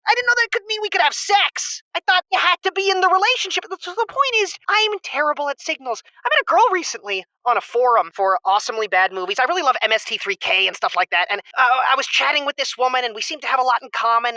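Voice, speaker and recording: A man's voice: extremely high pitch, pushed and bright, no room sound, heavily acted, poor audio. A man playing an awkward, overthinking young nerd who rambles earnestly as a caller in a comedic radio drama. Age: young adult. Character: awkward, overthinking, nerdy young man calling a lonely-hearts show.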